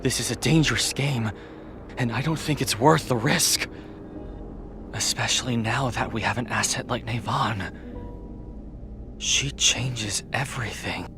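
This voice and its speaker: A man's voice: medium pitch, unhurried, long pauses, close to an everyday voice. A man playing a brooding, jealous god of death in an audio drama, speaking with an intense, challenging manner. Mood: urgent pleading. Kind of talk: scripted audio drama acting. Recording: music under the voice. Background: music.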